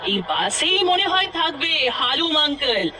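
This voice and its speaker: A woman's voice: high voice, very animated, normal speed, very noisy. A woman voicing a cheerful young schoolgirl with a singsong delivery in a cartoon. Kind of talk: cartoon voice acting. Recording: loud music under the voice.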